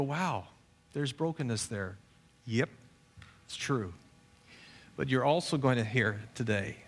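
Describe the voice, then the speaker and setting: A man's voice: on the high side, a bit bright. Earnest man speaking in a church hall. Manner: earnest, repeats and restarts words. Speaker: pastor. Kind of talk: church service introduction.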